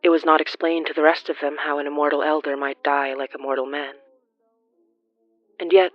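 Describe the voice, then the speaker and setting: A narrator reading scripted horror fiction in a formal, measured storytelling manner. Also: mid-range voice, no echo, lo-fi sound.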